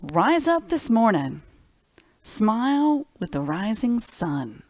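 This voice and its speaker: Lady doing storytime for little kids, slow n expressive, really dry close sound. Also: on the high side, no echo, rough recording. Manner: simple, child-directed talk with questions to listeners. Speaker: children's library storytime host. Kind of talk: expressive picture-book read-aloud for young children.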